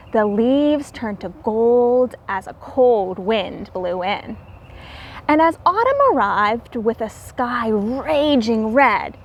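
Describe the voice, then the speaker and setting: A woman reading a story aloud to young children as a teacher, expressive and explaining things simply. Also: fairly high pitch, slight background noise.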